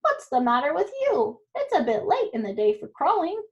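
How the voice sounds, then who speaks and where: Woman doing a loud braying donkey. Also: high voice, huge pitch swings, moderate pace, dull audio, voice pushed up. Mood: eager. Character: loud braying male donkey, cheerful leader.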